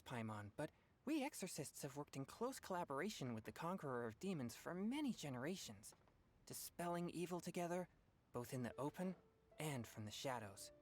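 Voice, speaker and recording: A man playing an earnest, modest teenage boy exorcist in a video game, speaking politely. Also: a little high. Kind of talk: game voice acting. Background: faint background music.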